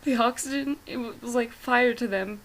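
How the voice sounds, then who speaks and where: A woman playing a haunted, horrified witness who tells a gothic horror tale in a grave, breathy manner. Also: on the high side, normal speed, fairly bright tone, low-quality recording. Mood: haunted sorrow. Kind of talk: hushed gothic horror monologue.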